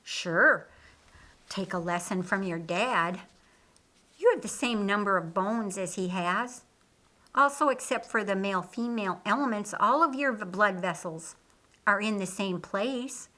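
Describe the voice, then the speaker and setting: Woman voicing a calm teacher Jesus, bright tone. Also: mid-range voice, a little slow. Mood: instructive. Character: Jesus, a man, calm, assured, kindly teacher. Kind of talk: audiobook character dialogue.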